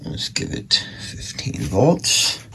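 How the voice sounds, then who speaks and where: A man's voice: slow, very noisy. A man giving casual, unscripted commentary as he does a repair, half talking to himself as he works. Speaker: antique radio repairer, video host.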